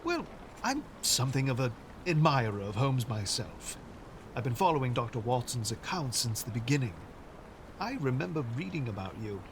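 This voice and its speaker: Lively, genial schoolteacher. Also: male voice, mid-range voice, normal speed. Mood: admiring. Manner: polite, wordy, formal. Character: genial, courteous male schoolteacher, no longer young. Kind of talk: audio drama acting. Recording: noticeable street ambience noise.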